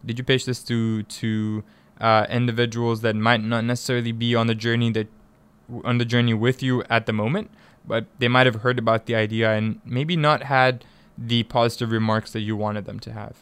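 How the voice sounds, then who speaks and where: A man from East Africa hosts a radio interview in a clear, smooth voice. He is conversational and effusive, doubling up words and phrases for emphasis. The sound is dry and close, as in a studio. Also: mid-range voice, level tone, normal speed, a bit bright, no room sound. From East Africa (Tanzania and Kenya). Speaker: radio show host and interviewer.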